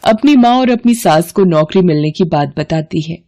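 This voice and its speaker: A woman's voice: lowish voice, lively intonation, moderate pace, mellow. A woman storyteller reading scripted narration for a moral family tale.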